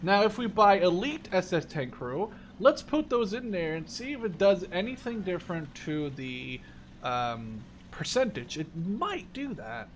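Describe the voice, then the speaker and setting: A man's voice: sits high, big pitch swings, measured pace, slight background noise. A man in his twenties from the Netherlands giving casual, unscripted game commentary, rambling and thinking aloud. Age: 20s. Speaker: gaming YouTuber.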